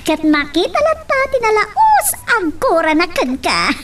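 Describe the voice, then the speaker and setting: A woman's voice: very high-pitched, wildly swooping pitch, a little slow, full character voice. A woman acting a confident, scheming figure in an amateur Ilocano audio drama, with an Ilocano accent. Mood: conspiratorial, lively. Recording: distant mic with faint noise.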